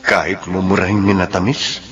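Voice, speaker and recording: A man's voice: sits low, flat delivery, a little fast, fairly bright tone, distant mic, highs cut off. A man playing a shy, humble young suitor in a radio drama. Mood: shy, tender. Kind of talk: Tagalog radio drama acting.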